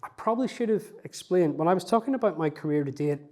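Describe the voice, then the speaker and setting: A man's voice: high-pitched, expressive, fast talker, darkish tone. A man, a researcher, answering interview questions in a reflective, self-effacing way, with frequent fillers and restarts. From not from Newcastle.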